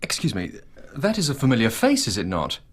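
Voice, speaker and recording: An actor playing an earnest, polite and inquisitive young gentleman in a radio drama. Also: medium pitch, wildly swooping pitch, brisk, breathy edge, no echo. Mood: eager.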